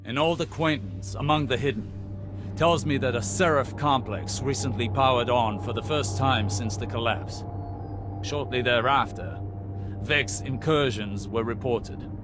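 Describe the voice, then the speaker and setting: An actor playing a formal, grave intelligence adviser giving a measured game mission briefing. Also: male voice, mid-range voice, close to an everyday voice. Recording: clear music underneath.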